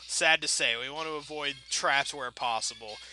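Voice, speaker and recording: A man's voice: medium pitch, normal speed, sharp tone, soft background music, poor audio. A man giving casual, rambling gameplay commentary, thinking aloud in a wry, self-deprecating way with playfully folksy turns of phrase. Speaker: video game let's player.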